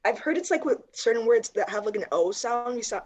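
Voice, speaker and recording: Giggly, lively-voiced Canadian woman. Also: fairly high pitch, brisk. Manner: giggly, hesitant, self-deprecating. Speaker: guest on a practice date. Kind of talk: casual chat.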